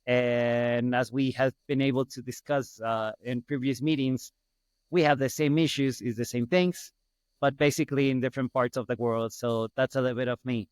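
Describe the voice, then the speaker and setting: A middle-aged man from Ecuador with a Spanish accent talks casually as a podcast guest. His voice is clean and smooth, in a dry recording, and he often repeats phrases to make a point. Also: mid-range voice, fairly even intonation, moderate pace, no room sound. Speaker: podcast guest, hospital business owner. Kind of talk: casual interview storytelling.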